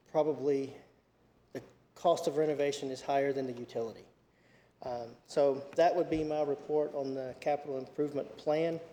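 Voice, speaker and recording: A man giving a capital plan report at a county committee meeting, speaking haltingly with many fillers and restarts. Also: medium pitch, mellow, big-room sound.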